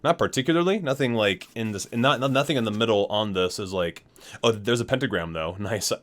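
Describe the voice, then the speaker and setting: A man's voice: lowish voice, brisk, a bit bright. A man hosting an ASMR unboxing, chatting casually and reading aloud, rambling, correcting himself and marveling at small details. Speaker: video host, gaming fan.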